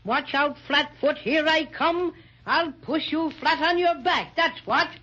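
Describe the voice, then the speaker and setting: A man playing a small, boastful, pugnacious toy bear with a husky voice in a 1930s children's radio serial. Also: very high-pitched, measured pace, sharp tone, exaggerated voice. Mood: blustering. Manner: blustering, pugnacious. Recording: dull, lo-fi 1930s radio transcription.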